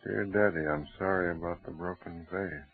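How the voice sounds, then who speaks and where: A low, rough-edged voice of a kindly father, soothing and theatrical in old radio drama style. The recording is tinny and narrow. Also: steady tone, moderate pace, full character voice. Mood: puzzled, subdued. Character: gentle, reassuring middle-aged father and husband. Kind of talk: mid-century radio drama acting.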